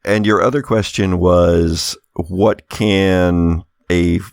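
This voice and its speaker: A man improvising narration as a game master at a tabletop game, phrasing things in a halting, thoughtful way. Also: sits low, fairly even intonation, gravelly, dry recording, studio quality.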